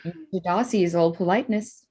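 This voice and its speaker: An actress playing a witty, proud young gentlewoman with an arch, spirited manner in a period staged reading. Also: medium pitch, brisk, slightly rough, natural voice. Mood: cool, arch.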